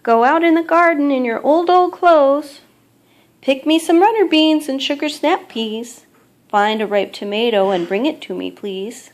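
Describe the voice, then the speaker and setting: A woman's voice: sits high, unhurried, pitched above the performer's own voice. A woman voicing a loving mother bunny in a children's storybook, calling to her child in an affectionate sing-song.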